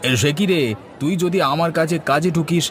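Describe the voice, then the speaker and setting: A man's voice: a little high, steady tone, a little fast, a faint crowd, slight background noise, close to an everyday voice. A man playing an easygoing, teasing village biryani seller in a cartoon. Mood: friendly, teasing. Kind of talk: Bengali cartoon dialogue.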